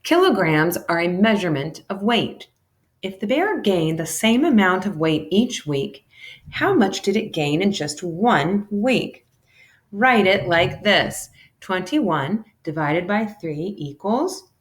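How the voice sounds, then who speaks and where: A woman giving an animated, deliberate read-aloud of a children's educational storybook, shifting between character lines. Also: mid-range voice. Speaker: teacher reading a children's math book aloud.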